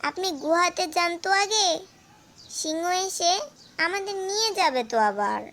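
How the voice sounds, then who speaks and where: A woman voicing a high-pitched, clever mother goat. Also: very animated, normal speed, fairly bright tone, exaggerated voice. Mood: sly flattery. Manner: coaxing, glib, persuasive. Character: clever mother goat, sly flatterer protecting her kid. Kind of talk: animated fable character acting.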